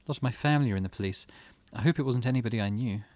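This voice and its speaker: A man's voice: medium pitch, very fast, darkish tone, no echo, lightly acted. A man voicing a weary, grieving middle-aged mother from a visual novel, reading her old-fashioned, wistful thoughts aloud. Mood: weary, uneasy.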